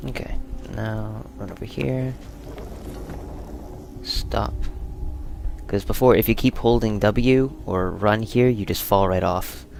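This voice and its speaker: A man's voice: fairly low pitch, steady tone, takes it slowly, vocal fry, darkish tone, a little hiss or hum. A man giving casual, meandering video game commentary with playful asides. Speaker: gaming channel host.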